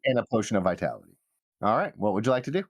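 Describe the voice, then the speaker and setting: A man narrating a tabletop game as game master, with halting, trailing phrases. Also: on the low side, sing-song, dry recording. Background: soft guitar music.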